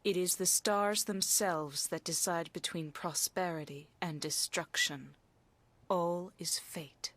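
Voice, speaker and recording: An actress playing a stern, haughty fortune teller in a video game, speaking in lofty, scolding, formal phrases. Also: female voice, fairly low pitch, fairly even intonation, slow, fairly bright tone, no echo, heavily acted. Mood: stern, scornful. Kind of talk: dramatic video game cutscene acting.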